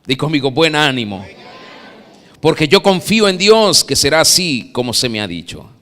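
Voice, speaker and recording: A Venezuelan man delivers a sermon with a bright, ringing voice pitched a touch high. He surges to loud, dramatic peaks and then drops to near whispers. The church hall carries a long reverb. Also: sing-song, normal speed. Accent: Venezuelan Spanish. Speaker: pastor. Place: church sanctuary.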